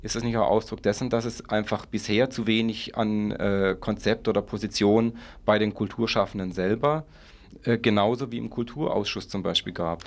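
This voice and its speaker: A man's voice: on the low side, steady tone, normal speed. A man interviewing in an unscripted political discussion, putting long, pressing questions. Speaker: radio magazine interviewer.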